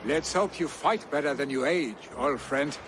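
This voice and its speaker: Man playing brash high-pitched Viking warrior. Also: moderate pace, background noise, lightly acted. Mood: teasing. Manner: swaggering, bantering. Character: brash younger male Viking warrior, boastful, competitive, teasing. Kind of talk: video game cutscene acting.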